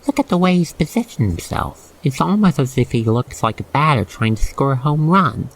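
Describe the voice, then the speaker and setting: Creaky-voiced man narrating an ASMR roleplay. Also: mid-range voice, lively intonation, normal speed, poor audio. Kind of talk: scripted second-person ASMR roleplay narration.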